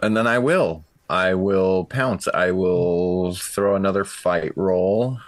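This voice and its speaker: Low-voiced man playing a tabletop game. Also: slow pace, no room sound. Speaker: tabletop roleplaying player. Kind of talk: casual in-game action description.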